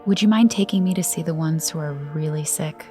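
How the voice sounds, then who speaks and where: A woman acting a curious, gently probing young woman in an audio drama, polite and a little hesitant. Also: sits low, a little fast, music in the background, natural voice. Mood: polite, pleading.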